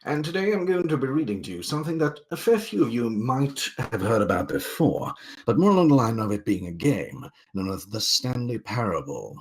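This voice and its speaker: A man reading a story aloud as a voice actor, with a theatrical, expressive storytelling delivery. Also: medium pitch, fairly quick, breathy edge. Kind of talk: dramatic storytelling narration.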